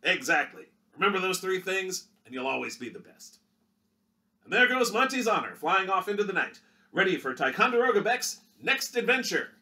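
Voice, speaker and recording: A man voicing a showy 1930s newsreel announcer with a booming delivery. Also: sing-song, normal speed, pushed and bright, heavily acted. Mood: hearty.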